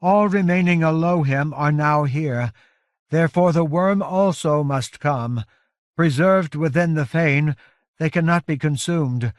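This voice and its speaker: A man acts out a giant woman warrior leader from a fantasy audiobook, pitched high and strained with exhaustion. She speaks in grave, archaic, formal oratory, solemn and commanding, in a dry, close recording. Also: no room sound, close to an everyday voice. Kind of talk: audiobook character voice.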